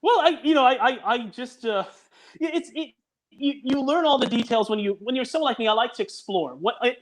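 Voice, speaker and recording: A man with a high-set speaking voice talks through a story in detail, piling up dates and names. He restarts his sentences often and keeps a casual, conversational tone. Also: fairly quick. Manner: detailed, fact-heavy storytelling with frequent restarts. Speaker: guest, audio drama producer. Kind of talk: casual chat.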